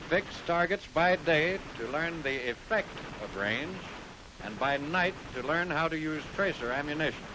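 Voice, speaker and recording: A man's voice: lively intonation, moderate pace. An American man reading scripted narration for a 1940s military training film, instructing the trainee directly. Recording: hissy 1940s film soundtrack, rumbling noise.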